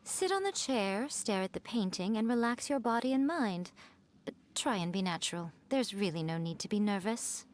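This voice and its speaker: An elegant, sly fox-spirit lady from a video game, voiced by a woman. She speaks unhurriedly in a lively, swooping mid-range voice, teasing and coaxing with playful condescension. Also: medium pitch, normal speed, lightly acted. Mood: teasing, soothing. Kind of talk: video game character dialogue.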